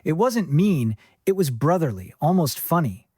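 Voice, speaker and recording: A man reading scripted fiction as an audiobook narrator, in a measured storytelling manner. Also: on the high side, very animated, darkish tone, slightly rough, no room sound, studio quality.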